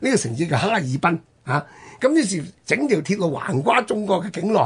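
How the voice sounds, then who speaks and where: A man with a Hong Kong Cantonese accent and a husky voice, telling history conversationally as a radio host, emphatically drawing out the ends of his phrases. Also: sits high, big pitch swings. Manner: emphatic storyteller with drawn-out phrase-ending particles.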